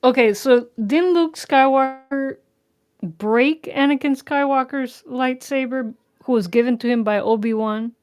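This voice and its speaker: A woman with a fairly high voice co-hosts a podcast chat. She interjects quickly with pointed questions. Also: a little mellow, clean tone. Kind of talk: casual podcast discussion.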